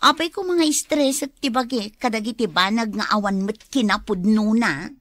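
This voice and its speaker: A woman's voice: on the high side, moderate pace, fairly bright tone, dry recording. Woman acting a troubled young wife, dry close sound. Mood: cheerful, carefree. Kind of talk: Ilocano radio drama acting.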